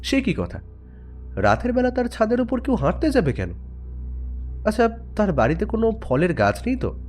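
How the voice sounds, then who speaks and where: Expressive male narrator with lively pitch. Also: normal speed, faint music behind. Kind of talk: expressive scripted story narration with acted dialogue.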